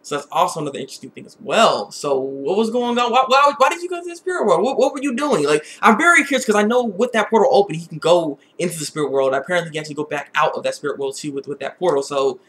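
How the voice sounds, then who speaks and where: A man giving a casual, opinionated episode review in an excitable, rambling way that often repeats words. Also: sits high, quick pace, few pauses.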